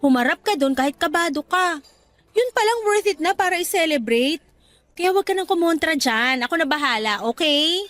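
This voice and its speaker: High-voiced, supportive young girlfriend. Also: moderate pace, no room sound. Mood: upbeat, coaxing. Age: young adult. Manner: affectionate, coaxing, animated. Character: supportive, upbeat young girlfriend cheering on her partner. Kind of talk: Tagalog radio drama dialogue.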